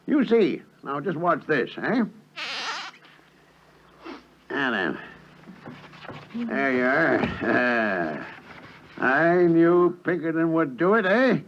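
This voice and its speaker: A man's voice: on the high side, very animated, extremely slow, pauses often, heavily acted. An actor playing a brash, folksy middle-aged American businessman with an American accent and a blustery salesman manner, in 1950s radio comedy. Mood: breezy confidence. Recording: dull, noisy 1950s radio recording.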